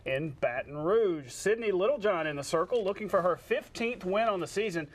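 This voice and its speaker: High-pitched male sports anchor. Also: lively intonation, moderate pace, a little hiss or hum. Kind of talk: scripted sportscast narration with co-anchor banter.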